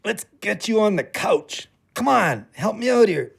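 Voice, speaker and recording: A man's voice: high-pitched, sing-song, measured pace, creaky, slightly rough, exaggerated voice. A man playing an earnest, plain-spoken ex-convict and loyal friend in a naturalistic audio drama. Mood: coaxing.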